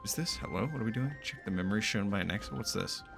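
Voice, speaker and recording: Guy reacting to a game, sweary and excitable, super animated, faint music behind. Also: on the low side, fast, vocal fry. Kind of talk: casual gaming reaction commentary.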